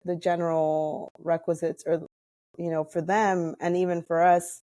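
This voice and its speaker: Interview guest: American woman, deep mellow voice, conversational storyteller, dry sound. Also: flat delivery, unhurried, no room sound. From Hawaii. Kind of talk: casual personal storytelling in conversation.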